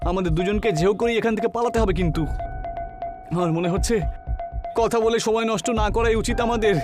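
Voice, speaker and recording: Cartoon horror lead: frightened young man, male actor, high voice, urgent colloquial delivery, music beneath. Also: fairly quick, lightly acted. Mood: anxious. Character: frightened young men, friends fleeing haunted cinema. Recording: background music under the voice.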